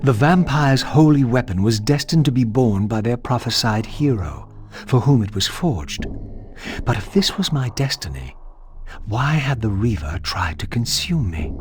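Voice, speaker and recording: A man's voice: mid-range voice, animated, a little slow, lightly acted. Game role: male actor as resolute undead vampire wraith, grave theatrical narration, eloquent and formal, music underneath. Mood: solemn, pondering. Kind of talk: dramatic scripted game narration.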